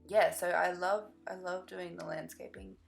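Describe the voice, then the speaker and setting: A young woman chatting casually over a game build in a rambling, easily sidetracked way, her voice slightly croaky from tiredness. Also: fairly low pitch, steady tone, normal speed, soft background music. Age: young adult. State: slightly croaky from lack of sleep. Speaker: gaming video creator.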